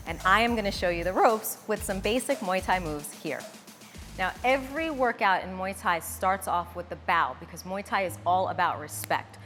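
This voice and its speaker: A woman in her thirties coaching a workout, giving energetic, encouraging cues and instructions. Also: medium pitch, in a large hall. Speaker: fitness instructor. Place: bright wood-floored fitness studio. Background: background music.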